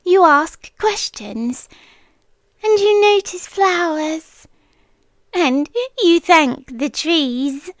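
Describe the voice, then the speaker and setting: Woman voicing a slow, high-pitched British monster girl. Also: lively intonation, dry recording, heavily acted. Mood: tender, longing. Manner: teasing, intimate, often dropping into whispers. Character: playful, tender, possessive young female forest monster girl. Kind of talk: roleplay ASMR.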